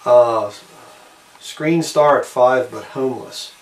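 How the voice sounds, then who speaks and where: A man giving a casual show-and-tell narration, unhurried and halting, often trailing off between short phrases. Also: medium pitch. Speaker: amateur video maker showing a collectible. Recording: roomy, distant mic with faint background noise.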